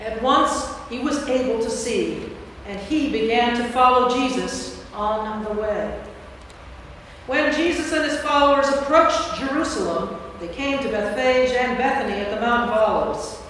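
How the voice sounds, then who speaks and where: A woman's voice: on the high side, measured pace, fairly bright tone. A woman reading scripted narration for a staged Bible reading. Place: large reverberant church. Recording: echoey church from far off, faint noise.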